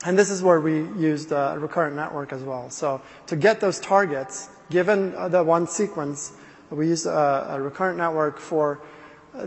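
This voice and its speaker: A man with a slightly raised pitch explains a technical topic in halting stops and restarts. He speaks into a mic in a large conference hall, and a long reverb follows his words. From Sweden. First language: Swedish. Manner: halting, with many restarts and fillers. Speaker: conference presenter.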